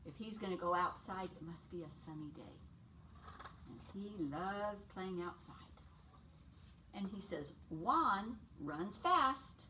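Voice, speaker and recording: A woman in her sixties reading a picture book aloud to young children, phrasing things simply and deliberately and putting questions to her listeners. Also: lowish voice, pushed and bright. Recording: distant mic with faint background noise.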